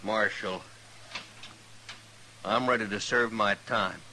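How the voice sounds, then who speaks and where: An actor playing a bitter, defiant jailed outlaw, curt and surly, in 1950s radio western style. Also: male voice, on the low side, slow, pushed and bright, heavily acted, low-quality recording. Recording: hissy old radio recording.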